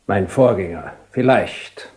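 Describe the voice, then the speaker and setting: An actor playing a grim, wronged husband posing as a stern bishop, terse and brooding, in a radio play. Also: male voice, on the low side, expressive, slow, a little mellow. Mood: guarded, pointed. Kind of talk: 1970s German-language radio play acting.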